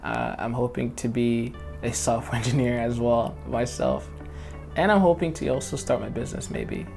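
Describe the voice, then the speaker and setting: A man's voice: mid-range voice, steady tone, normal speed, creaky, a little mellow. A young man who grew up in Congo, speaking earnestly and cheerfully about his life in an interview. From Democratic Republic of Congo. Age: young adult. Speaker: interviewee, college student. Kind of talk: personal testimony to camera. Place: indoor studio against a light wall. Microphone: lapel mic. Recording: guitar music underneath.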